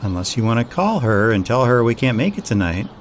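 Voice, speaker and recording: A man acting as a gentle, reassuring young boyfriend in an intimate audio roleplay, speaking in a soothing, affectionate way. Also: medium pitch, big pitch swings, brisk, slight background noise, natural voice.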